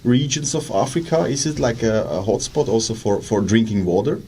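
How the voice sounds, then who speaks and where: A man's voice: on the low side, expressive, moderate pace, darkish tone, slightly rough. A man hosting a radio interview with a Swiss German accent, talking with many fillers and restarts. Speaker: radio host. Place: radio studio.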